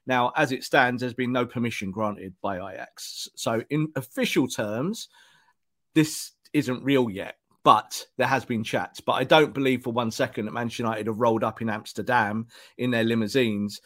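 A male football journalist co-hosts a podcast with a relaxed, conversational manner. His voice sits at an everyday middle pitch. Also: normal speed. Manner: relaxed, conversational, laughs easily. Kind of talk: casual football podcast chat.

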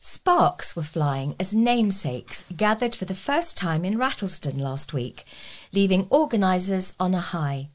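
A woman's voice: fairly low pitch, very animated, measured pace. A woman reading scripted local news as a volunteer newsreader.

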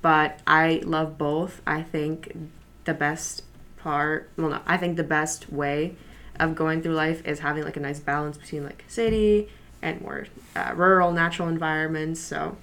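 High school girl, Polish first language, low voice, relaxed and chatty, talks about herself in an interview. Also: unhurried. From New York City, USA. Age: high school senior. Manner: relaxed, chatty, frequent fillers and soft laughs. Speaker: interview guest, student. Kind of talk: casual interview.

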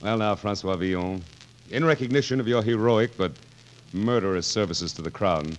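A man's voice: lowish voice, moderate pace, natural voice. An actor playing a peevish, crafty, weary king of France in 1940s radio style, dry and querulous. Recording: noisy vintage 1940s radio recording.

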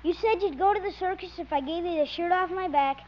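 A woman's voice: extremely high pitch, fast. A performer voicing an eager, earnest little boy who pleads and bargains, in 1950s radio comedy style. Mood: resigned. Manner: earnest, pleading, boyish exclamations. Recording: muffled, very noisy old radio recording.

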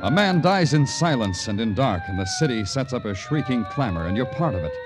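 An actor playing a world-weary police detective in radio noir style, dry, sardonic and brooding. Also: male voice, medium pitch, big pitch swings, a little fast, loud music behind the voice, lightly acted. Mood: brooding, dramatic. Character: hard-boiled, world-weary male night-shift police detective. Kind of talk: 1950s radio noir narration and dialogue.

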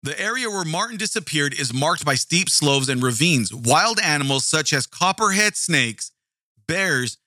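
A man with a booming, projecting voice, telling a story in a casual, animated and profane way, often repeating words. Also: unhurried, dry recording. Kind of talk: casual true-crime storytelling.

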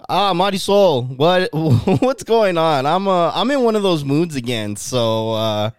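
A man with a smooth, resonant voice chats loosely on a podcast, dropping curse words and plenty of ums. His voice is close and dry, with no room sound around it. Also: a little high, very animated, measured pace, no echo. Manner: loose, swearing, rambling with fillers. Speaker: podcast co-host. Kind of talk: casual podcast chat.

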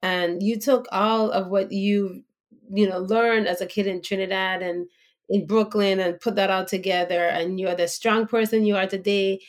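A woman's voice: medium pitch, steady tone, moderate pace. Woman hosting a podcast interview. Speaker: guest podcast host. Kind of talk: conversational interview.

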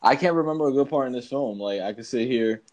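A man co-hosting a podcast in casual banter, animated, teasing and slangy. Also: mid-range voice, fast. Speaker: podcast co-host.

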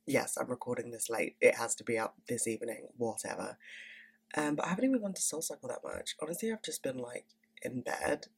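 A woman's voice: a bass voice, a little fast, gravelly, breathy edge. A young woman in her twenties hosting a podcast in casual, candid chat, swearing freely and sounding stuffy from a cold. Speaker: podcast host.

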